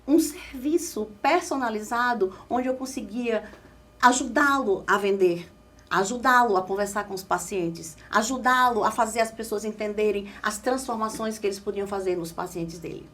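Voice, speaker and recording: A woman in her forties from Caruaru with a Pernambuco Brazilian accent, telling her career story as an interview guest in an expressive, emphatic way. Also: medium pitch, normal speed. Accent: Pernambuco Brazilian Portuguese. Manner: expressive, emphatic, lively storytelling with repeated phrases. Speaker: guest, communication specialist.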